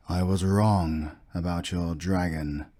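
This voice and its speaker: Man acting low, measured British army captain. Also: mellow. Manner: formal, measured. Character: stiff, proud male army captain, humbled and courteous. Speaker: voice actor. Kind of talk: romantic fantasy audio roleplay acting.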